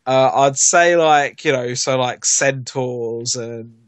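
A man's voice: mid-range voice, slow pace, dry recording. A man in casual chat, rambling with lots of fillers and restarts as a podcast guest. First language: not Japanese.

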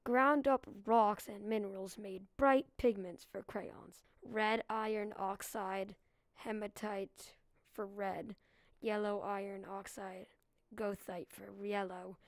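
A woman's voice: medium pitch, steady tone, takes it slowly, no echo. A female reader giving a scripted read-aloud of a children's book.

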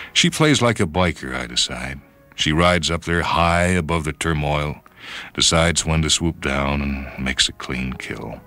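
A man narrating a story for radio in a measured, introspective, dramatic manner. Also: extremely low pitch. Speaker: reader and host of a radio short story program. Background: music.

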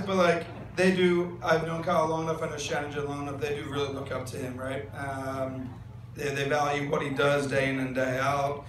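Rambling best man in an echoey tent. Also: on the high side. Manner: rambling, filler-heavy, joking. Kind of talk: wedding toast. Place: large wedding tent. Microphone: handheld mic. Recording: echoey tent with loud room noise. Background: guests laughing.

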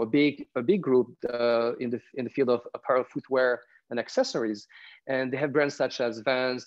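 Man hosting a webcast, dull call audio. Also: mid-range voice. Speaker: webcast host. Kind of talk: conversational interview with a prepared introduction. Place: small furnished room at home. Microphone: wireless earbuds on a video call.

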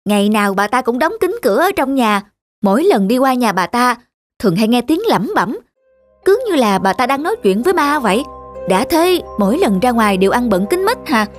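A woman's voice: on the high side, sing-song, a little fast, faint music behind. A woman playing a gossipy, suspicious neighbour in a cartoon, with a conspiratorial, insinuating manner. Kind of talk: Vietnamese cartoon voice acting.

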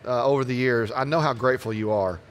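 A man's voice: medium pitch, fast talker. A man in his fifties hosting a conversational interview, asking questions and prompting his guest. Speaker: interviewer, host. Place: large convention hall stage, indoors. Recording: faint background noise on stage.

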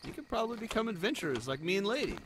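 Man acting as animated, anxious young spider prince. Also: sits high, background noise. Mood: easygoing, chatty. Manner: flustered, halting, sighing. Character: young male spider adventurer, secret lost prince, anxious, snarky. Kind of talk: amateur fantasy audio roleplay. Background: crickets, night forest ambience.

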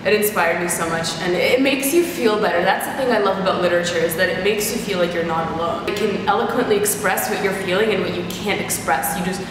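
A woman's voice: lowish voice, quick pace, few pauses. A young woman in her twenties with a stuffy, nasal voice from a sinus infection, chatting bubbly and rambling to camera about her favorite products. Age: 20s. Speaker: beauty and fashion vlogger. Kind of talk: casual chat to camera. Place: large bright loft indoors. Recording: roomy echoey loft, mic far off, noise behind.

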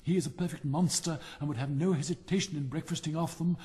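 A man's voice: fairly high pitch, steady tone, fast, warm tone, close to an everyday voice. A man reading aloud and voicing a boastful, endlessly chatty mother frog who gushes and laughs as she talks. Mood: gushing. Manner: gushing, chattering, laughing between phrases.

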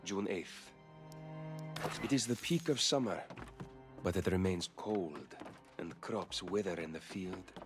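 A man playing a grave, weary knight who reads his journal in a solemn, measured way for a fantasy game. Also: sits low. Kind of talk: scripted dramatic journal narration in a fantasy game.

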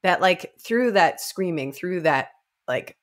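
A young woman co-hosting a casual book discussion, expressive and informal, with halting phrasing. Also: fairly low pitch. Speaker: podcast co-host. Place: indoor room. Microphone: close desk microphone.

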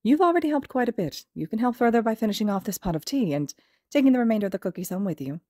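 A small, clever animal principal from a story, voiced by a woman narrator. The voice is raised in pitch and soft-toned. The manner is courteous, measured and formal, meant to reassure. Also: expressive, no room sound, clean studio sound. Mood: reassuring. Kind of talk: audio fiction reading.

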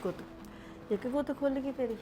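A woman's voice: high voice, fairly quick, over music, close to an everyday voice. An actress playing a loving, remorseful older grandmother who talks tenderly and pleads. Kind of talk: family drama acting.

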